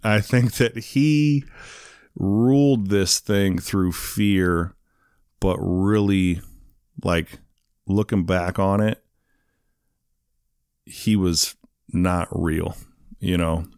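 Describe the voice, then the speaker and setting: A man with a very deep voice tells his story in a loose, rambling way, padding his sentences with fillers and asides. The sound is dry and close, with no room around it. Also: fairly even intonation, very slow, long pauses, a little mellow, clear, resonant tone, dry recording. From England. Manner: rambling, many fillers, "you know what I mean". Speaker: guest. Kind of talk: casual storytelling interview.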